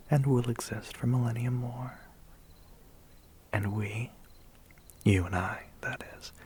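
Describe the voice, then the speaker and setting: A man playing a smug, menacing, centuries-old vampire biker in an ASMR roleplay, whispering breathily with a condescending, teasing manner. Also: mid-range voice. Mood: ominous.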